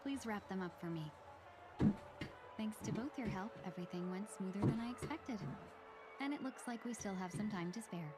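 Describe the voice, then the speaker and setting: A woman's voice: medium pitch, moderate pace, over loud music, natural voice. A woman playing a refined, courteous young woman in a video game, speaking politely and formally with composure. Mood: gracious, pleased.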